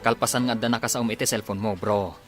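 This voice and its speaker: A man's voice: on the low side, steady tone, fast talker, music in the background, lightly acted. A man playing a loyal young soldier buddy in an Ilocano radio drama, casual and brotherly. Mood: concerned.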